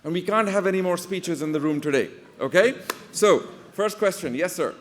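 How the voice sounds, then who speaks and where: A man with a fairly high voice moderates a panel in a direct, informal way. He gives clear instructions, and the hall adds a long reverb around his words. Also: slight background noise. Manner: direct, brisk instructions to audience. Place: conference hall with audience.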